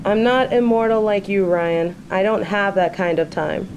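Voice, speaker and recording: A woman's voice: medium pitch, normal speed, reverberant, dull audio, noisy background, close to an everyday voice. A woman playing a smug, bored sorceress turned journalist in an audio drama, with a sardonic, condescending way of talking. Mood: playful. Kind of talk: audio roleplay drama acting.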